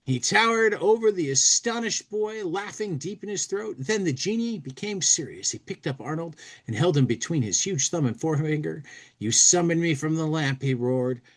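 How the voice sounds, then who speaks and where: A man's voice: on the high side, lively intonation, normal speed. A man hosting a casual talk show, reading a comic magazine aloud expressively and commenting with loose asides. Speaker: podcast host.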